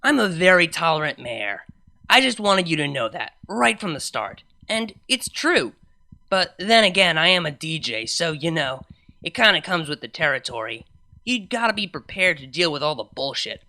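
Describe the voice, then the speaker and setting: Brash, sardonic young DJ mare played by a woman for a cartoon fan story; bright, forceful, slangy delivery with big pitch swings. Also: big pitch swings, moderate pace, heavily acted. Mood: wry, boastful. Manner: chatty, slangy, wry storytelling asides. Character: brash young female unicorn nightclub DJ, sardonic, exasperated. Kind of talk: first-person fan fiction narration.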